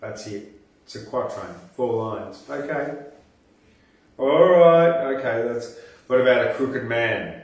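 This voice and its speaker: A man hosting a poetry reading, reciting verse and making offhand remarks in a halting, deliberate manner. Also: mid-range voice. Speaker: poetry podcast host and reader. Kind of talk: poetry recitation with casual asides between poems. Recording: echoey room, distant from the mic.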